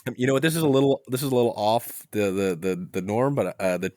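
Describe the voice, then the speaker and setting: A man chatting casually about films as a podcast panelist, halting and jokey. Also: lowish voice, dark tone, no echo, studio quality. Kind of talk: casual film chat.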